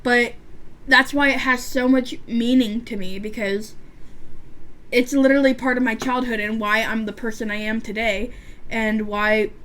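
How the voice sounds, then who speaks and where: A woman's voice: a little high, steady tone, normal speed, a bit bright, slight background noise. A woman of about twenty chatting casually while doing her makeup, with a stutter and a lisp and a rambling way of talking. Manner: rambling, chatty, frequent restarts and fillers. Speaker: beauty vlogger.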